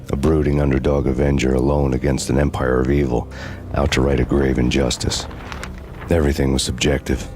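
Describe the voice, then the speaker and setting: A man voicing a very deep brooding noir cop. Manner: hard-boiled noir narration, dry and bitter. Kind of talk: scripted noir voice-over. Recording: with loud game music.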